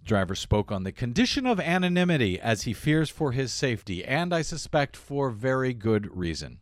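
A man's voice: medium pitch, very animated, moderate pace, no echo, studio quality. A man hosting a political talk radio show, delivering a monologue in a sardonic tone with frequent fillers. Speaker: radio host.

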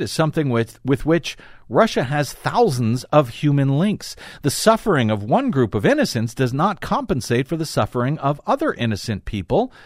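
A man's voice: a little high, no room sound, studio quality. A man hosting a talk program, reading news excerpts aloud and commenting on them in an emphatic way. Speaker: talk show host and commentator.

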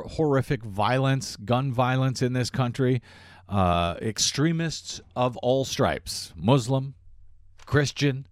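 A man's voice: medium pitch, dry recording, studio quality. A man hosting a political talk radio show, delivering a monologue in a sardonic tone with frequent fillers. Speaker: radio host.

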